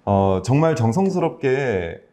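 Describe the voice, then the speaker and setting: A man's voice: mid-range voice, sing-song, unhurried, mellow. A man speaking as a doctor hosting a health show, chatting casually and good-humouredly as he explains things. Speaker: Korean medicine doctor and health show host.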